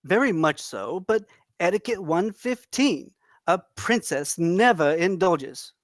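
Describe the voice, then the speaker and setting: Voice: medium pitch, animated, takes it slowly, no echo, clean studio sound. A performer at a table read playing an earnest, timid servant who speaks properly and deferentially. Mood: anxious. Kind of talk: table read of a comedy fantasy script.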